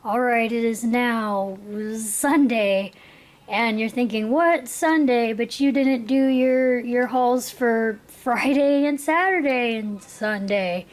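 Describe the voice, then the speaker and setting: A woman's voice: a little high, takes it slowly. A tired young woman rambling and giggling through a casual haul video, her voice worn out. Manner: rambling, giggly, with frequent restarts. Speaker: vlogger.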